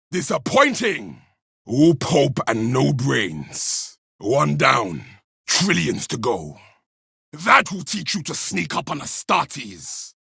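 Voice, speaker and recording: A zealous armored warrior from a combat video game, spitting contempt at fallen foes. The voice is very rough and husky, pitched fairly high, with big swings between snarl and battle cry. The delivery is forceful and declamatory. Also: male voice, no echo, studio quality, exaggerated voice. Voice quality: raspy, gravelly. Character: battle-hardened male Space Marine, contemptuous, zealous, wrathful warrior.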